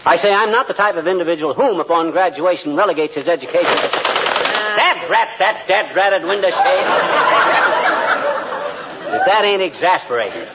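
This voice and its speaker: An actor playing a blustery middle-aged American husband with slangy, ungrammatical, folksy talk in 1940s radio comedy style. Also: male voice, high voice, lively intonation, normal speed, long pauses. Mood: folksy, self-assured, then exasperated. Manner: folksy, slangy, bad grammar. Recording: noisy, muffled vintage radio recording with clicks.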